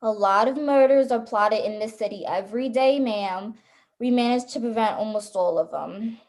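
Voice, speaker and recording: A woman's voice: on the high side, moderate pace, darkish tone, lightly acted. A woman playing a weary, dismissive police desk officer in a radio thriller, patronizing and placating. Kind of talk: suspense radio play acting.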